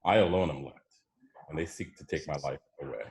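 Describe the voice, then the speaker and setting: Guy playing a weary old testament prophet, deep voice, despairing but zealous, bible reading. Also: brisk, a little mellow. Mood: earnest, aggrieved. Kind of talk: dramatized scripture reading.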